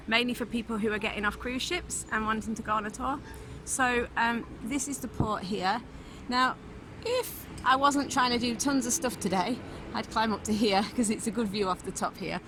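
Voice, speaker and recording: Travel vlogger, British woman, casual and rambling with ums, recorded outdoors on a handheld camera with street noise. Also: medium pitch. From United Kingdom. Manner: chatty, lots of ums, talks to camera. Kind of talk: casual walking tour vlog. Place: outdoors in a city, beach and streets.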